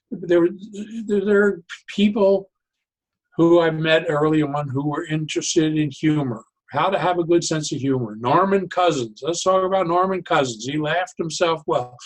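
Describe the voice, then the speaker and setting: An older man with a somewhat high voice speaks as a guest in a reflective interview. He is deliberate and halting, often doubling back to restart a phrase as he explains his thinking. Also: rough recording. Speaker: interview guest, psychologist and humor educator.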